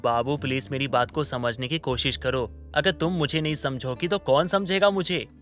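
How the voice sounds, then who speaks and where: A smooth-talking young husband in an audio story drama, acted by a man. He coaxes and placates in a bright, mid-pitched voice, with music behind him. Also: moderate pace, close to an everyday voice. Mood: placating. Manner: coaxing, placating. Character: smooth-talking, two-timing young husband full of excuses. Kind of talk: Hindi moral-story audio drama acting.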